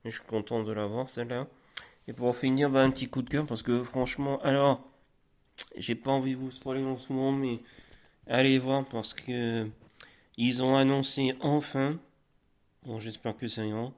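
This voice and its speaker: A man's voice: medium pitch, steady tone, darkish tone. Man with a cold, rambling casually. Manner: rambling, halting, many restarts. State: slightly congested from a cold. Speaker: collector vlogger. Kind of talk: casual show-and-tell.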